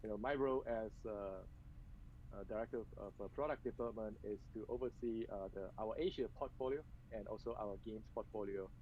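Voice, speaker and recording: A man from Malaysia, a games executive, answering interview questions over a video call in a soft, hesitant way with many pauses and fillers. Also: on the low side, a little hiss or hum. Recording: muffled video-call audio.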